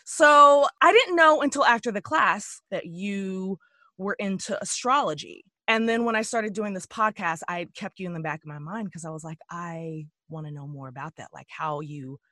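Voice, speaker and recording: A bubbly woman hosting a podcast, talking casually and gushing with quick asides. Her voice sits in an ordinary middle register. The sound is close and dry, with no room around it. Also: medium pitch, bright, forceful tone, no room sound. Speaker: podcast host.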